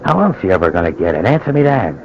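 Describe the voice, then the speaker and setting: Old radio crime play: low, tough-talking schemer, slangy, bullying, muffled vintage sound. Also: male voice, sing-song, rapid-fire, vocal fry, heavily acted. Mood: pushy, persuasive. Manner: tough slangy wise-guy talk, bullying and coaxing. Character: pushy streetwise male stick-up crook, cynical schemer. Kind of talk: old-time radio drama acting. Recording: dull, noisy old radio broadcast recording.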